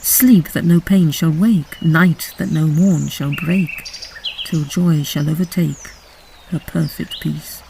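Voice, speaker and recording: A woman reading poetry aloud in a solemn, measured recitation. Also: deep voice, creaky, noisy background. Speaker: poetry reader.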